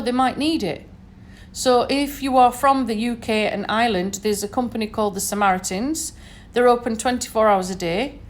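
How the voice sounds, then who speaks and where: A woman with a Sheffield accent talking alone on a podcast, earnest and advising, often checking in with "okay?" and "you know". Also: fairly high pitch. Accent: Sheffield, Northern English. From Sheffield, England. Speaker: podcast host. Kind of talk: solo podcast talk.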